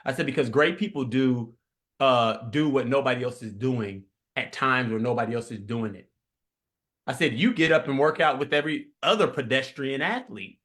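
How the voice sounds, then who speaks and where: A forty-year-old man speaking as an interview guest, slow, earnest and reflective. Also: mid-range voice, a bit bright. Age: 40s. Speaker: guest musician. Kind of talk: casual interview chat.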